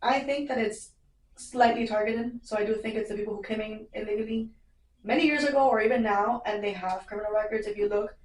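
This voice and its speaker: A woman's voice: medium pitch, fairly quick, a little hiss or hum. A young woman, an immigration lawyer explaining things plainly and reassuringly in casual podcast chat. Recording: roomy, mic a bit far.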